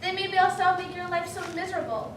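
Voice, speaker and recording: A woman's voice: very high-pitched, lively intonation, quick pace. A woman playing a smug, sarcastic teenage school bully with a taunting, mock-sweet delivery in a reader's theater performance. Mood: gloating. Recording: distant mic in echoey room, faint noise.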